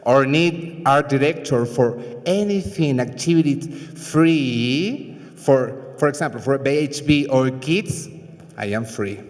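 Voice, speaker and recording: A Chilean man, a fashion designer with Spanish as his first language, speaking slowly in halting English at a public event. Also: medium pitch, a little mellow. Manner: halting, searching for English words. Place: event hall. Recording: reverberant hall.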